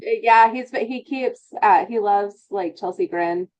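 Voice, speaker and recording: A woman's voice: mid-range voice, a little slow, a little mellow. A middle-aged woman interviewing a musician, chatty and enthusiastic in a casual conversation. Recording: dull lo-fi remote audio.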